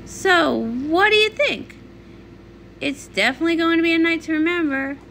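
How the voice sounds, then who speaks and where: A woman performing an animated comic read-along, acting out the characters. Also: very high voice, normal speed, slight background noise.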